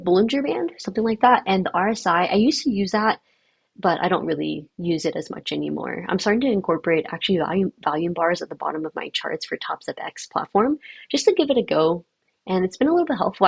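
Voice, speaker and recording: A woman's voice: lowish voice, vocal fry, husky, dry recording. A young woman whose first language is Vietnamese, hosting a livestream in casual, upbeat chat with viewers, with many fillers. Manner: chatty and upbeat, lots of fillers. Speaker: livestream host.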